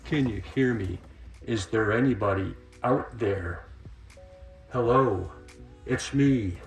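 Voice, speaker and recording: A man's voice: on the low side, slow pace, vocal fry. A man hosting a DIY vlog, chatting informally and rambling a little as he works hands-on. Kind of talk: casual hands-on vlog chat. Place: in and around a camper van. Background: music.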